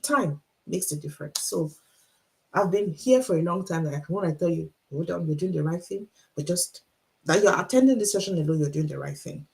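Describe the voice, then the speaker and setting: A middle-aged Nigerian woman speaks in a low, gravelly voice with a Nigerian accent. She talks deliberately, so her listeners can keep up. She repeats her main points and throws rhetorical questions at the viewers of her livestream. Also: darkish tone, poor audio. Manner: encouraging, repeats key lines, asks rhetorical questions. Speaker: author and motivational speaker. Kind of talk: motivational livestream talk.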